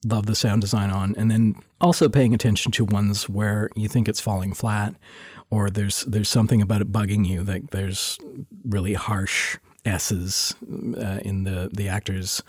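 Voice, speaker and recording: A man with a low, mellow voice chats easily as a sound designer guest. He talks in a relaxed way, laughs now and then and restarts his sentences often. The recording is close and dry. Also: slightly rough, no room sound. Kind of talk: casual interview chat. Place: lives in Toronto.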